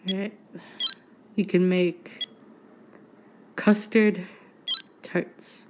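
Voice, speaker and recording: A woman's voice: fairly low pitch, drawn-out words, noisy background. A woman in her thirties chatting casually on her vlog with a stuffy nose, talking into a phone camera at arm's length in a small bedroom. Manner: chatty and excitable, laughs often. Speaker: YouTuber.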